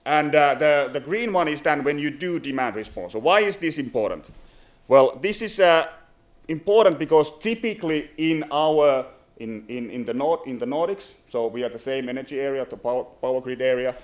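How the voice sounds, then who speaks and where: Halting Finnish man presenting. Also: medium pitch, lively intonation. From Finland. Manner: halting, many fillers and restarts. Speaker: company presenter. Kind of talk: business presentation. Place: conference venue.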